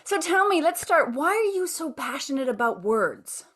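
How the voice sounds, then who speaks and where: A woman's voice: sits high, very animated, normal speed, a bit bright. A woman hosting an interview podcast, talking enthusiastically and effusively, often restarting her phrases. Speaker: podcast host.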